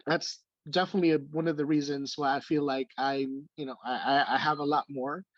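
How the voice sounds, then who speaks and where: A man's voice: fairly high pitch, steady tone, fairly quick, no room sound, dull audio. Filipino-born man talking on a video call. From Philippines. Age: 40s. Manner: hesitant, many fillers and restarts. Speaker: treasurer of a community organization. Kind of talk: casual video call remarks. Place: home room.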